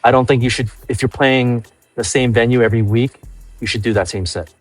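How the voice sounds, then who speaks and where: A man's voice: mid-range voice, fairly even intonation, fairly quick, no echo, soft background music. A man from New York, a nightlife industry guest on a podcast, talking casually and often repeating and restarting his phrases as he thinks.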